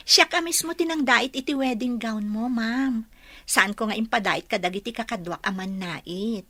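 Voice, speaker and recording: Humble young seamstress, Filipino accent, high bright voice, deferential, eager, radio drama, dry sound. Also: female voice, measured pace, no echo. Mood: grateful. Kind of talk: Ilocano radio drama acting.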